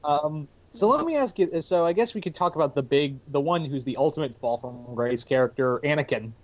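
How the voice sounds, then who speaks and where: A man's voice: fairly high pitch, animated, fairly quick, no room sound, highs cut off. A man chatting casually as a panelist in a podcast discussion.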